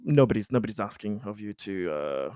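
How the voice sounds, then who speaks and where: A man's voice: fairly low pitch, lively intonation, fairly quick, mellow, clear, resonant tone, no room sound, studio quality, close to an everyday voice. A man playing an injured, paranoid colonist in a tabletop game, stammering and repeating himself. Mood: uneasy, subdued.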